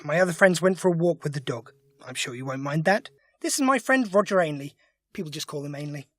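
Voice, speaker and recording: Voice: mid-range voice, expressive, brisk, fairly bright tone, no room sound, clean studio sound, distant mic. An actor playing a quirky, wry time-travelling alien adventurer in an audio drama, chatty and given to grumbling. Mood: chatty, inquisitive.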